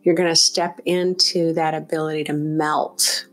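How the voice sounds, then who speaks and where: A woman leading a guided meditation as a teacher, speaking off the cuff in a gentle, encouraging, conversational way. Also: low-pitched, level tone, unhurried.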